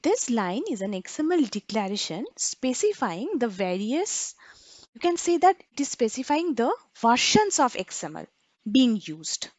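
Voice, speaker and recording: Slow, animated woman teaching a technical lesson. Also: fairly high pitch, no room sound. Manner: didactic, emphatic, asks and answers own questions. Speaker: programming instructor. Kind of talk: explanatory lecture.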